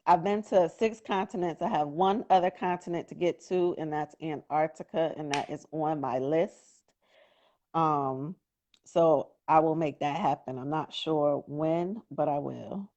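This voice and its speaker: A woman in her forties from Connecticut, a guest on a live video chat, talking in a relaxed, friendly way with many ums. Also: deep voice. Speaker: interview guest, pharmacist. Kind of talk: casual live-stream chat. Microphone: phone on a video call. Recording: muffled phone call audio.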